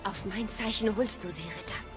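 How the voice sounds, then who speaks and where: A woman's voice: medium pitch, normal speed, natural voice. A woman playing a resolute, self-sacrificing heroine in a fantasy radio drama, solemn and steadfast. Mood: defiant. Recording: music under the voice.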